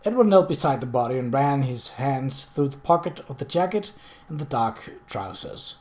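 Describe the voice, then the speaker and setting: Guy reading a novel out loud, audiobook style, pretty expressive, normal mid pitch. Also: moderate pace. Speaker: audiobook reader. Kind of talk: scripted narration of a novel.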